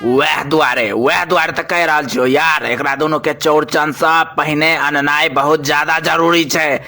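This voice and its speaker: A man's voice: a little high, steady tone, moderate pace, pushed and bright, shouting. A man with a Maithili accent voicing a pompous, easily exasperated scientist boss in a comic cartoon, boastful and scolding. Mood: urgent scolding. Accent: Maithili, Mithila region. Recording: music bed under the voice.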